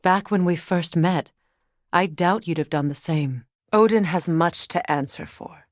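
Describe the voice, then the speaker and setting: An actress playing a solemn, regal Norse goddess warrior in a video game, speaking in a measured, grave, formal manner. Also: female voice, on the low side, no echo, clean studio sound, natural voice. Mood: solemn, reflective. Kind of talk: dramatic video game voice acting.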